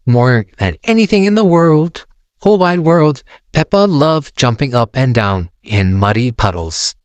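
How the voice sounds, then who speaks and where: A man narrating a children's storybook aloud in an expressive, sing-song way, close to the mic. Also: mid-range voice, a little slow, clear, resonant tone, dry recording.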